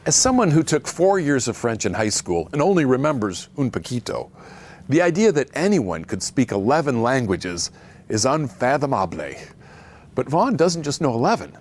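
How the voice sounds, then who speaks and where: A man's voice: medium pitch, very animated, normal speed, a little mellow. A man interviewing a guest and narrating with a wry, conversational touch.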